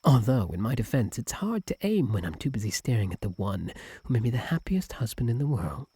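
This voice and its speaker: Man acting as yandere kitsune husband, very breathy and husky, swooping intonation, possessive, doting, intimate ASMR roleplay, close dry mic. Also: mid-range voice, very animated, fairly quick, warm tone, no room sound, full character voice. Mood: fond, playful. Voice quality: breathy, husky, at times whispered. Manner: tender, clingy, sweetly menacing. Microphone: close, dry microphone.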